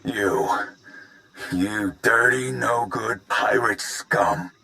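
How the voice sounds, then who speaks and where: An actor playing a blustering, belligerent male pirate boss in a video game, rough-spoken and threatening. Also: lowish voice, very slow, bright, forceful tone, full character voice. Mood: menacing.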